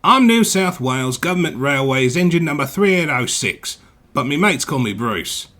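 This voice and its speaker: A man's voice: on the high side, expressive, normal speed, sharp tone. A man voicing a friendly, mischievous big steam engine with an Australian accent, casual and slangy, in a storybook tale. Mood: warm, friendly.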